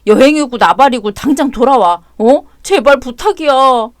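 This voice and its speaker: A woman's voice: high-pitched, animated, moderate pace, no room sound, clean studio sound. A woman voicing an overwhelmed young father who pleads and whines. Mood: pleading. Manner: pleading, whiny.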